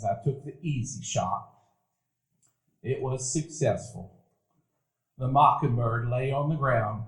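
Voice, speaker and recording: A man narrating a stage radio play as a grown man recalling his boyhood, in a measured, reflective telling. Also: mid-range voice, lightly acted. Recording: roomy event hall.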